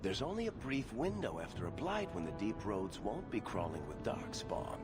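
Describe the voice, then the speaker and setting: A low, lively, persuasive voice of a dwarf schemer, smooth and conspiratorial. Game music sits clearly behind him. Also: male voice, moderate pace. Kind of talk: video game voice acting. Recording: game audio with music underneath.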